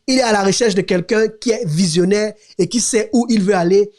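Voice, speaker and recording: A man's voice: sits high, brisk, darkish tone. A male podcast host who introduces his guest and asks the questions. He often repeats words while he searches for them, and he keeps the guest going with short replies. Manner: hesitant, repeats words, many short backchannels.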